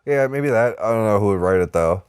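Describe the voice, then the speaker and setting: A man's voice: fairly low pitch, animated, brisk, darkish tone, clear, resonant tone, dry recording. A man co-hosting a podcast, talking casually about movies in a loose, rambling way. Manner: rambling, heavy use of "like". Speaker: podcast co-host.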